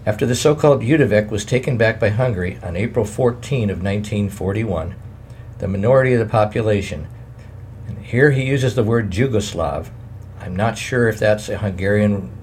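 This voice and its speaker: A man reading a wartime memoir aloud in a plain, measured way, adding his own editor's notes. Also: fairly low pitch, darkish tone, slight background noise.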